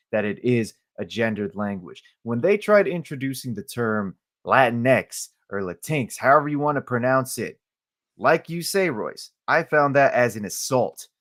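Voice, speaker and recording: A Colombian man whose first language is Spanish, speaking as a guest on a podcast. He talks with passion, pushing his voice up on key points and stumbling when he gets heated. His pitch is average for a man. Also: a bit bright.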